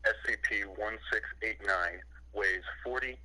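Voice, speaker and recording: A young man talking casually, then reading aloud in a halting, self-conscious way over a voice call. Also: fairly low pitch, pushed and bright, poor audio. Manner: hesitant, stumbling, self-conscious. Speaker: reader of creepypasta-style logs.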